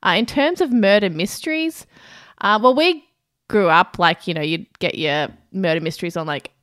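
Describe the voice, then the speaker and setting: A woman co-hosting a casual podcast, blunt and quick to swear. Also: lowish voice, fairly bright tone, no room sound, studio quality. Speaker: podcast co-host.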